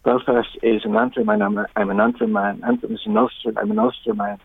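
Older Northern Irish male guest, husky and creaky, thoughtful halting delivery down a thin phone line. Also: sits high, very animated, no room sound. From Northern Ireland. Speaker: interview guest. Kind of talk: reflective radio interview talk. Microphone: telephone.

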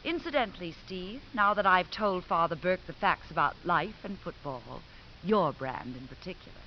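A woman's voice: lowish voice, lively intonation, moderate pace. Cold, scornful society woman. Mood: vindictive. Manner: clipped, cutting, sarcastic. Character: cold, scornful society ex-wife, vindictive mother. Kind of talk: 1950s American radio drama acting. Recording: dull, hissy old radio recording.

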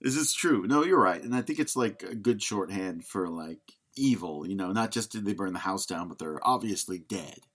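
Low-voiced man hosting a casual podcast. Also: animated, moderate pace, few pauses, a bit bright. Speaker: podcast host. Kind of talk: casual film discussion.

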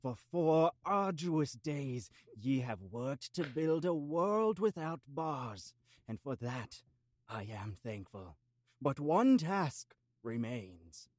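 A man's voice: mid-range voice, big pitch swings, slow, a little mellow, no echo. Guy doing a hungry goat lady speechifier, super pompous, old-timey wording, audio drama style, dry sound. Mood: grandly proclaiming. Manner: pompous, archaic, theatrical declaiming. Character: grandiose old she-goat orator, hungry and florid.

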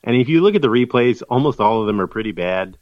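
A man co-hosting a casual sports podcast, with dry, deadpan sarcasm. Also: medium pitch, fast, dry recording, lo-fi sound. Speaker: podcast co-host.

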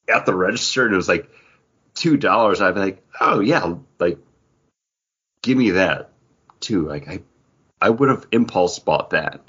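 A man co-hosting a casual comics podcast, talking in an animated way full of "like" fillers. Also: low-pitched, slightly rough, lo-fi sound. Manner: animated, filler-heavy, frequent "like". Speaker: podcast co-host.

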